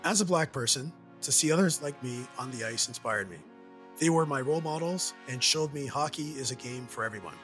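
A Canadian man hosting and narrating a documentary in a measured, earnest way. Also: medium pitch, a bit bright, soft background music. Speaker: host and narrator. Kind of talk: scripted narration.

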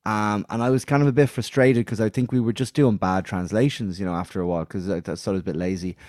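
A middle-aged man, a band founder, talking in a relaxed, reflective way with loose restarts. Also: fairly low pitch, dry recording, studio quality. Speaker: musician, band founder, interview guest. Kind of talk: casual storytelling.

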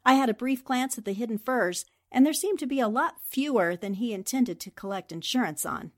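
A woman playing a shrewd, self-assured 1940s newspaperwoman in a radio drama, explaining things precisely and with gentle teasing. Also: on the high side, lively intonation, brisk. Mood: composed, assured.

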